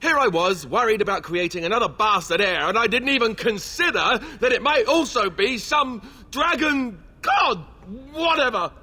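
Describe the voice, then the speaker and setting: A man's voice: high voice, expressive, moderate pace, hardly any pauses, pushed and bright, slightly rough, full character voice. A man voicing a young warrior in a video game, wry and earnest, an awkward reluctant leader. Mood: incredulous, sarcastic. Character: young male warrior, awkward, sardonic, reluctant heir turned rallying leader. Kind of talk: video game dialogue acting.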